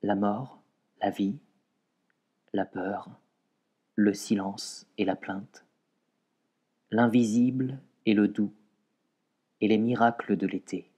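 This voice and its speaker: A man reciting poetry in a measured way, pausing between short phrases. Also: on the low side, steady tone, dark tone. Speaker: poetry reader.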